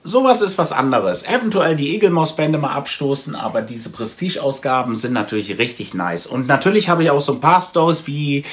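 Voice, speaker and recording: Male vlogger, forties, slightly high voice, casual rambling delivery, thinking aloud to camera. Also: lively intonation, fairly quick, hardly any pauses, fairly bright tone. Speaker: comic book vlogger. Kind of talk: casual chat to camera, reviewing a comic haul. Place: small room at home with packed shelves, indoors.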